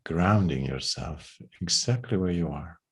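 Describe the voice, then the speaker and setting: A man leading a guided meditation in a gentle, unhurried way, with long pauses between phrases. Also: low voice, animated, breathy edge.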